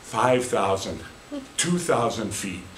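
An American man in his sixties giving a theatrical storytelling speech, dramatic and emphatic with deliberate pauses. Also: on the low side, very animated. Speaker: speech contest competitor. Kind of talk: theatrical tall-tale storytelling speech to a live audience. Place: small meeting room, indoors. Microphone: camera a few meters away. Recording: echoey room, faint noise, distant camera mic.

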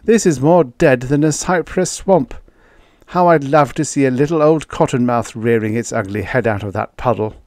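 A man's voice: mid-range voice, moderate pace, a little mellow, no echo, studio quality, pitched above the performer's own voice, close to an everyday voice. A man voicing a weary, frightened male Mars explorer in an audiobook story, speaking in a strained, emphatic, panting way. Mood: uneasy, wry.

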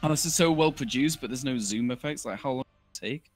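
A man's voice: mid-range voice, quick pace, soft background music. A man giving casual, mocking, sweary commentary over video game cutscenes.

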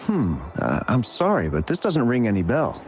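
A man's voice: mid-range voice, wildly swooping pitch, moderate pace. A man playing a chatty, shifty delivery courier in a video game, with a theatrical and evasive manner. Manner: theatrical, evasive, stammering when pressed. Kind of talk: video game character dialogue.

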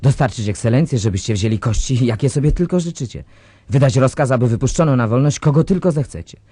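An actor playing a treacherous, self-serving government official, husky and ingratiating, in a radio drama. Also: male voice, mid-range voice, lively intonation, fast talker, mellow, no room sound. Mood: smooth bargaining.

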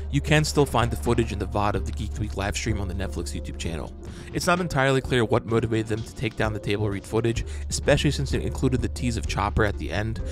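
A man narrating a scripted breakdown as a host, in a measured, explanatory manner. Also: lowish voice. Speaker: host of a fan breakdown channel. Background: music.

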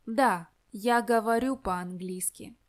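A woman reads short model answers for learners, slowly and deliberately. Each reply is plain, careful and scripted. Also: medium pitch. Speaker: model answerer in language lesson. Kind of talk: slow scripted example answers.

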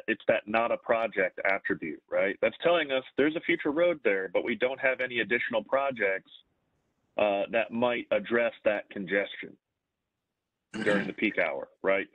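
A man's voice: lowish voice, no echo. A man on a video call explaining to colleagues, checking in with rhetorical questions. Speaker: planner on a webinar. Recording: tinny, dry phone-like line.

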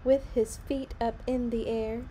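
A woman's voice: on the high side, little pitch movement, measured pace, dark tone, poor audio. A young adult woman reading picture books aloud to children, expressively, with her own little asides on the story. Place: small room at home, indoors. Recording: noticeable background noise, home recording.

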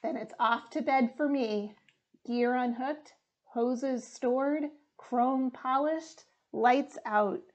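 A young woman reading a children's storybook aloud in a deliberate, expressive way. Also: sits high, muffled recording. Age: young adult. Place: small room indoors.

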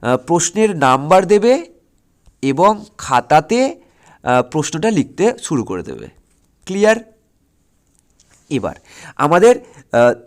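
A man teaching exam preparation, explaining step by step and often stopping to check that students follow. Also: a little high. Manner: instructive, often checks understanding with short questions; repetitive, step-by-step counting and listing.

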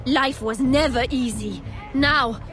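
An actress playing an aggrieved townswoman in a video game, speaking earnestly and deliberately. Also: sits high, music in the background. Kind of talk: video game cutscene acting.

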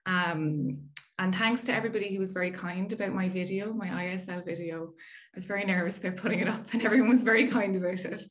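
A woman's voice: mid-range voice, quick pace. A woman in her thirties from Ireland with an Irish accent, giving a friendly, chatty research talk over a video call with frequent fillers. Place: indoor room at home.

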